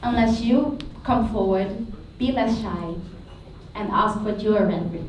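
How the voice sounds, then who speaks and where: A woman moderating a panel who stutters and speaks haltingly. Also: medium pitch. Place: stage of an arts venue hall. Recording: echoey hall, voice from far off.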